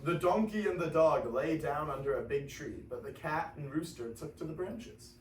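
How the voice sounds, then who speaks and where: A man's voice: on the high side, normal speed. A teenage boy narrating a scripted story as part of a classroom reader's theater. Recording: echoey classroom, distant mic, faint noise.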